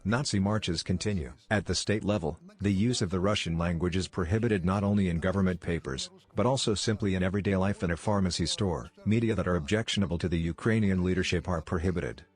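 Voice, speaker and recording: A man's voice: low-pitched, fast talker, hardly any pauses. A man reading scripted political commentary as a voice-over narrator.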